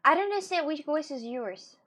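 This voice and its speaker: A woman's voice: high voice, expressive, fairly quick, sharp tone. A woman from Russia in her twenties, chatting casually and animatedly on a live stream, often repeating herself.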